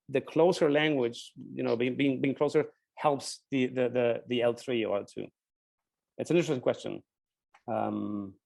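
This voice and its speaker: Male guest academic, German accent, bilingual German-Spanish native, gravelly creak, thoughtful halting answers. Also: medium pitch, a little mellow. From grew up with German and Spanish. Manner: halting, repeats words while thinking. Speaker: guest speaker, linguist. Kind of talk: thoughtful spontaneous question-and-answer.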